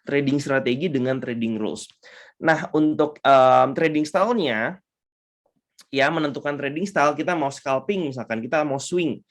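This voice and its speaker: Male mentor, hoarse and stuffy-nosed, upbeat online lecturer, mid-pitched, video-call mic. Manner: upbeat, mixes English terms, frequent fillers. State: hoarse and congested. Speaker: trading mentor.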